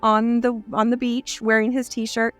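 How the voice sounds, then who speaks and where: A woman co-hosting a podcast in bubbly casual conversation, her voice slightly hoarse from illness. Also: on the high side, little pitch movement, measured pace, faint music behind. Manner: bubbly, enthusiastic storyteller. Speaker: podcast co-host. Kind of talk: casual chat.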